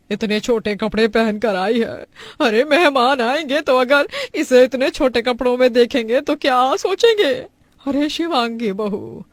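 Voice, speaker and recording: Woman acting disapproving Indian mother-in-law, higher-pitched, complaining, theatrical exclamations, cartoon drama. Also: no room sound. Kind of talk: Hindi cartoon family drama dubbing.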